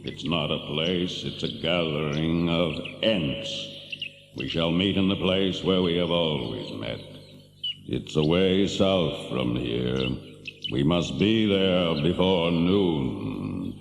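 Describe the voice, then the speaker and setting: An actor playing an ancient, kindly tree giant in an audio drama, drawing out his words ponderously with hums and pauses. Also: expressive, full character voice. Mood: unhurried, friendly. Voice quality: very deep, creaky, gravelly. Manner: drawn-out, ponderous, humming and pausing between words. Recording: noisy, echoing hall-like reverb.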